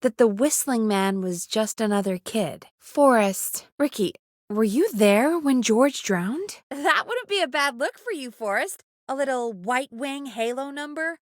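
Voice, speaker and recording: An actress playing a friendly, teasing woman who produces a small-town radio show in a video game, talking in an earnest, expressive, chatty way. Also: on the high side, a little slow, no room sound, studio quality. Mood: uneasy, concerned. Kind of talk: video game voice acting, conversational.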